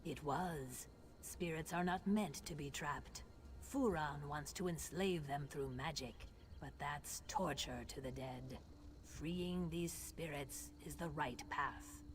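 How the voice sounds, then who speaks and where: Low-voiced, solemn mystic woman. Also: a little hiss or hum. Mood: solemn conviction. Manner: solemn, measured, formal. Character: grave mystic woman, spirit-speaker, reverent toward the dead. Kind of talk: scripted fantasy game dialogue.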